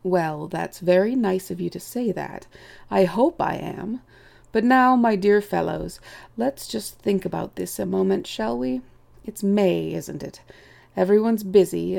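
Woman voicing a genteel, gravelly old chief rabbit. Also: fairly low pitch, dark tone. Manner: genteel, vague, patronizing, unhurried. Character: elderly male chief rabbit, politely detached, condescending. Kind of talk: audiobook character voice.

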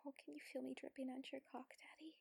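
A woman's voice: sits high, flat delivery, darkish tone, dry recording, studio quality. A woman playing a submissive, teasing warrior lover in an intimate adult audio roleplay, whispery and pleading. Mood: breathy, aroused. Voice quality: breathy, whispery. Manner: slow, pleading, coaxing.

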